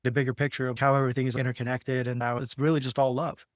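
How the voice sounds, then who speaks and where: Quick-talking man in casual chat. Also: medium pitch, level tone, dry recording, clean studio sound. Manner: informal, peppered with "like" and "right?". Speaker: podcast co-host. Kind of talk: casual conversation.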